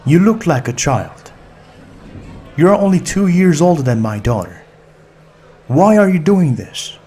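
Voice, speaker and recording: A man's voice: fairly high pitch, big pitch swings, unhurried, dark tone, soft background music, pitched above the performer's own voice. A man playing a middle-aged father held hostage, protective and argumentative, in an audiobook thriller. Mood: angry, challenging.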